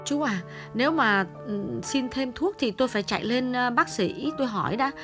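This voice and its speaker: A man's voice: very high voice, animated, moderate pace, music in the background, voice pushed up. A man voicing a polite young female nurse who hesitates with fillers. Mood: hesitant.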